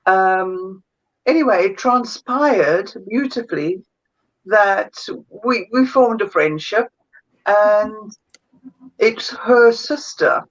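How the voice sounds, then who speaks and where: A woman with a South African accent, a guest energy healer chatting thoughtfully in an interview and often pausing to find her words. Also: mid-range voice, lo-fi sound. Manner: thoughtful, searches for words, many fillers. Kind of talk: casual interview chat.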